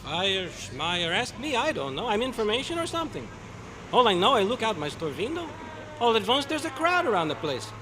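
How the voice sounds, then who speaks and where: A high, animated, exasperated shopkeeper, played by a man in old radio comic style. He is sarcastic and clipped, over clear recording noise. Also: moderate pace. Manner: sarcastic, shrugging, clipped phrases. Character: wry, exasperated male shopkeeper bystander. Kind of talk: old-time radio comic character acting. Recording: noisy live meeting room recording.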